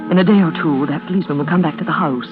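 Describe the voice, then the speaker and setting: A woman's voice: deep voice, very fast, natural voice. An actress playing a bitter, scheming woman caring for her mad sister, in melodramatic, intense 1940s radio suspense style. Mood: cold, scheming. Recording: tinny vintage radio recording, loud organ music.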